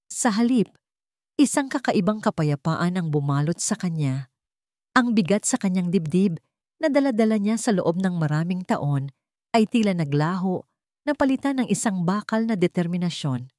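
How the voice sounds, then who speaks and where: A woman narrating a scripted drama story in an even, deliberate storytelling manner. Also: fairly low pitch, no room sound, studio quality.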